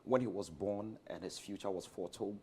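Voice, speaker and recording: A man's voice: on the low side, fairly quick, dark tone, slight background noise. A man with a Ghanaian accent talking as a theatre director guest in an interview, speaking emphatically and repeating phrases for effect. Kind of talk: animated promotional interview chat.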